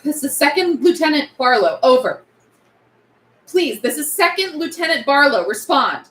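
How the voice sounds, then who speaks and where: A woman's voice: high-pitched, sing-song, normal speed, a bit bright. A woman playing a determined, desperate young army lieutenant in a drama script read, urgent and pleading. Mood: tense, formal. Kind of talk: script table read acting. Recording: roomy, echoey table read.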